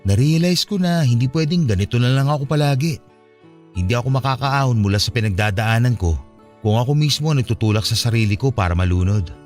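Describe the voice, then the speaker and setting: A man's voice: medium pitch, expressive, fast talker, a little mellow, lightly acted. Guy acting as troubled young filipino ex-boyfriend in a radio drama, sincere, medium voice, bit of music behind. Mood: sombre, resolved. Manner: sincere, confiding. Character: troubled, earnest young man reconciling with his ex.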